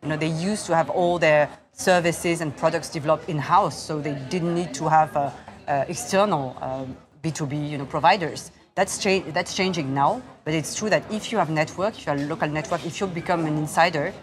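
A woman, an investor speaking in an onstage interview, measured and halting with many fillers. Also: low voice. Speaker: investor, fund founder. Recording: crowd murmur in the background.

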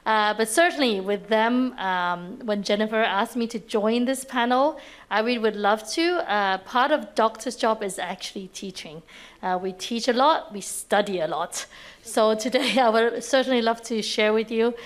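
A woman's voice: a little high, normal speed. A woman in her forties from Hong Kong, a doctor and professor, introducing herself on a panel in a friendly way. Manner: friendly, laughs lightly.

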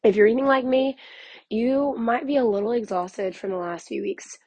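A young woman, hoarse from losing her voice, hosting a casual podcast in a chatty, candid way with many fillers. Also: on the high side, normal speed, a little mellow, dry recording. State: hoarse, recovering from lost voice. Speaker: podcast host and author. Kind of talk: casual solo podcast talk.

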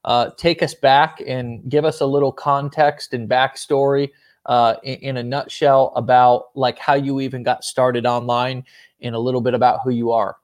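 A man hosting a casual online interview show, upbeat and energetic, often restarting and repeating words. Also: mid-range voice, normal speed. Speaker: host.

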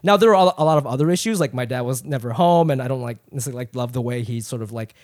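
Fast-talking American man, candid and profane. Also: mid-range voice, expressive, a little mellow. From Japan, raised in Ohio from age eight. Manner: candid, profane, rambling storyteller. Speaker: podcast guest, comedian. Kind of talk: casual podcast chat.

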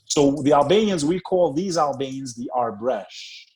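A man's voice: mid-range voice, expressive, normal speed, darkish tone. Streetwise man hosting a casual interview. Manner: streetwise, profane, repeats phrases. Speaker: podcast host.

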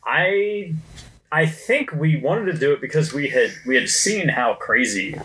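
A man's voice: a little high, expressive, a little slow, bright, forceful tone, slight background noise, low-quality recording. A man talking casually on a podcast, recounting company history in a conversational way. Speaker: podcast guest, company co-worker.

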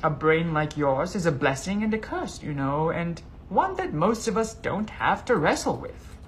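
A man voicing a shy, kindly, elderly male gnome in a storybook, hesitant, polite and gently pleading. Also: a little high, big pitch swings, moderate pace, a little hiss or hum. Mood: gently consoling.

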